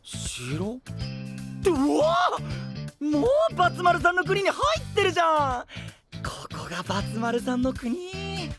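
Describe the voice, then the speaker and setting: A man's voice: very high-pitched, huge pitch swings, a little slow, heavily acted. A friendly, boyish young hero in an anime audio drama. He talks casually and openly, his intonation bouncing around, with music behind him. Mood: excited wonder. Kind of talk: Japanese anime voice drama acting.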